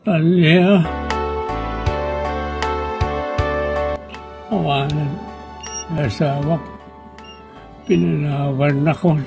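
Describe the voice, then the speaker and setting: A man playing a sick, abandoned engineer in a wheelchair, speaking haltingly and with remorse in an Ilocano melodrama. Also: fairly high pitch, steady tone, vocal fry, loud music behind the voice. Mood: forsaken. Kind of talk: Ilocano radio-style melodrama.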